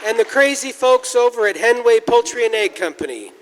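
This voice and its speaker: A man's voice: extremely high pitch, animated, brisk, over a noisy crowd. A man hosting a live stage show, making joking announcements and thanking sponsors. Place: church venue, live show. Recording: live hall with audience and noise.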